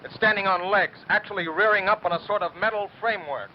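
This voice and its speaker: A male actor plays a frightened eyewitness reporter in a 1930s radio drama. His high voice is urgent and breathless. The sound is muffled with faint noise. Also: fast talker, close to an everyday voice. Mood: alarmed awe. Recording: dull lo-fi broadcast, faint noise.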